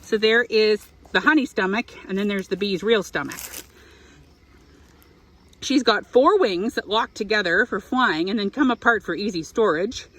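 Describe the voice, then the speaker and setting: A woman's voice: medium pitch, expressive, moderate pace, a bit bright. A woman reading a picture book aloud to young children, expressively, with explanatory asides. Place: outdoors in an apiary.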